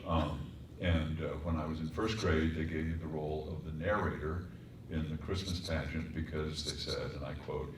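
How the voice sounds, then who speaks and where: Very deep-voiced man, distant in an echoey hall. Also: flat delivery, moderate pace. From Colorado, United States. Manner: storytelling, wry, reflective. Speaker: voice actor, composer and author. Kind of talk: panel interview on stage. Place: performing arts center stage. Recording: echoey hall, distant mic, faint hum.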